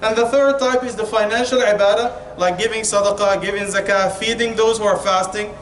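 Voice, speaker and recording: High-pitched Egyptian preacher in an echoey room. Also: male voice, steady tone, fairly quick, a bit bright. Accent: Egyptian Arabic. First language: Arabic. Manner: informal, colloquial, rhetorical questions, frequent "you know". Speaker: Islamic preacher giving a Ramadan talk. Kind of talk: conversational sermon with humour. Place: indoor Islamic centre hall. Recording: echoey room, distant mic, faint noise.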